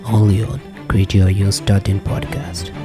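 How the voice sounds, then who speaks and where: A man with a low, flat-pitched voice reads scripted narration as a podcast announcer. Music plays clearly underneath him. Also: unhurried. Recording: loud music bed under voice.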